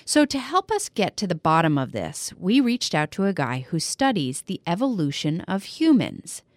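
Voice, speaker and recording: A woman's voice: lowish voice, sing-song, normal speed, no echo. A woman hosting a podcast for children, narrating in an upbeat, playful way. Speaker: podcast host.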